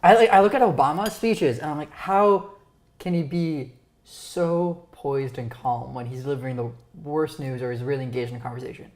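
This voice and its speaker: A woman whose first language is Romanian, hosting a casual chat; she talks fast and stumbles over her words. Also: deep voice, a little mellow. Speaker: podcast host. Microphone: lapel.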